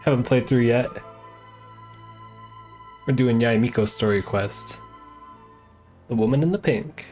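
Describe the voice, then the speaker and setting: A woman's voice: extremely low pitch, unhurried, over music. A woman giving casual, laid-back live stream commentary on a game in brief asides.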